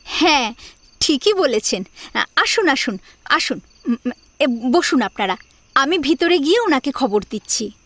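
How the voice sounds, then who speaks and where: A woman's voice: high voice, sing-song, a little slow, pauses often, fairly bright tone, a little hiss or hum, full character voice. A woman voicing a polite, slightly flustered housewife welcoming guests in an audio drama.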